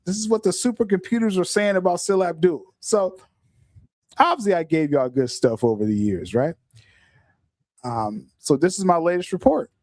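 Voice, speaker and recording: Animated man podcasting in a dry room. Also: high-pitched, normal speed, no echo. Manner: animated, repeats words, laughs often. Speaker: podcast host. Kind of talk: casual livestream commentary.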